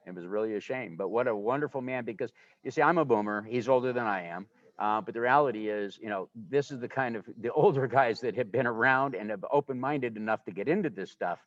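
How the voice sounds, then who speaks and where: Fast-talking older man, muffled audio. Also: on the low side, no echo. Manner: rambling, enthusiastic. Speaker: guest. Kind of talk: casual conversation. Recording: dull, muffled livestream audio.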